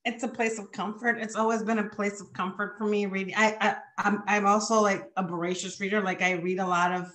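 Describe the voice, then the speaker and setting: A woman's voice: mid-range voice, flat delivery, brisk, hardly any pauses, fairly bright tone. A woman from the Dominican Republic talking in a casual panel over a video call, restarting her phrases often. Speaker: romance author on a panel. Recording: echoey room on a video call.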